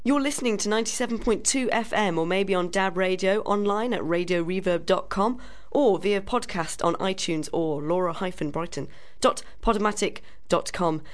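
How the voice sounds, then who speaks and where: A woman's voice: medium pitch, moderate pace, few pauses. A woman hosting a local business radio show, upbeat and breezy. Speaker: radio host. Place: radio studio.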